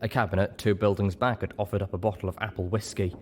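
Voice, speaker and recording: A man's voice: fairly low pitch, fairly even intonation, fast talker, a little hiss or hum. A man reading a scripted first-person story as an audiobook narrator.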